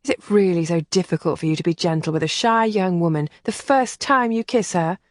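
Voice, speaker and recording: A woman narrator voicing a composed, caring female companion who speaks gently and matter-of-factly. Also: lowish voice, brisk, dry recording, studio quality, close to an everyday voice. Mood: soothing. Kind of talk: audiobook character voicing.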